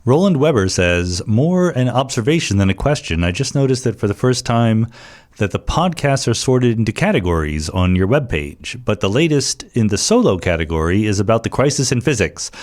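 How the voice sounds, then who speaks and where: Guy with a lowish voice hosting a q&a podcast, explaining stuff conversationally, little asides and rhetorical questions. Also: very animated, normal speed, a little mellow. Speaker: podcast host answering listener questions. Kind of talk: informal spoken explanation.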